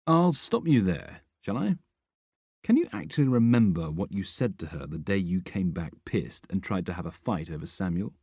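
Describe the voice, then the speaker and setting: A man's voice: low voice, sing-song, normal speed, breathy edge, no echo, heavily acted. A male audiobook reader voicing a guarded, blunt middle-aged father who speaks with dry sarcasm. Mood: stern. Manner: dry, sarcastic, then softening.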